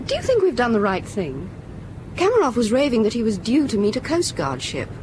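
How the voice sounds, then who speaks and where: An actress playing a calm, inquisitive woman on a ship's bridge, talking conversationally in a radio drama. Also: a little high, a little fast, a little hiss or hum, low-quality recording. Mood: doubtful, curious. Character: calm, questioning woman serving coffee aboard a ship.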